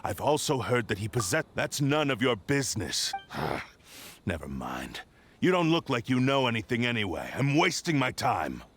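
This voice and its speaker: A man's voice: medium pitch, huge pitch swings, normal speed, fairly bright tone, husky, exaggerated voice. A man voicing a haughty, curt young swordsman with a dismissive manner in an anime video game. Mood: cold, dismissive. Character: brusque, haughty young swordsman seeking a rival. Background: game music.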